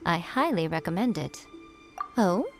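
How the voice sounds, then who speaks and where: A woman voicing a sly, teasing shrine priestess in a video game, amused and unhurried. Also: lowish voice, animated, soft background music.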